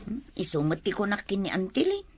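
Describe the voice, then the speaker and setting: A woman playing a sharp-tongued, defensive elderly wife bickering in an Ilocano radio drama. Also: mid-range voice, sing-song, fairly quick, husky. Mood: dismissive.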